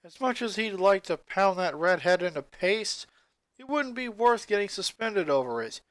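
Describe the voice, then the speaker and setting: A narrator reading a scripted story aloud in an expressive way. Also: mid-range voice, normal speed, no echo.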